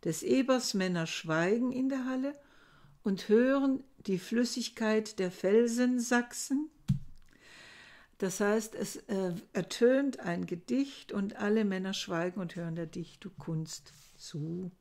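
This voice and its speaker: An older woman giving a recorded lecture from her book-lined study, explaining old verses slowly and thoughtfully, with many hesitations. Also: medium pitch, a little mellow. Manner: slow, thoughtful, with frequent hesitations. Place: home study lined with bookshelves. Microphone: webcam at desk.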